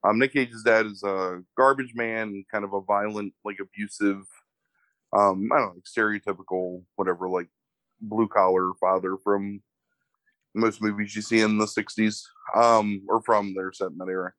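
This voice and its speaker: A man's voice: lowish voice, steady tone, a bit bright, dry recording, poor audio. Congested man rambling through a film summary. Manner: halting, many fillers and "like". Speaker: movie podcast co-host. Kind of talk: casual film review chat.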